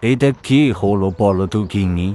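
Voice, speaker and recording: Cartoon fisherman husband, male actor: lowish voice, worried, plaintive, resigned. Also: normal speed, warm tone, faint music behind, natural voice. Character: worried poor village fisherman, husband and father.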